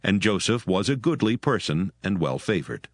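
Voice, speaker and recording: A man narrating an archaic scripture text in a measured, solemn reading. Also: lowish voice, sing-song, no room sound.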